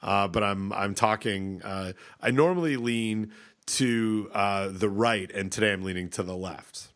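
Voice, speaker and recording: A man's voice: lowish voice, unhurried, a bit bright. A man in his thirties co-hosting a podcast, stuffed up from a cold, rambling with lots of restarts. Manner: rambling, hesitant, many restarts. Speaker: podcast co-host. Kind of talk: casual chat.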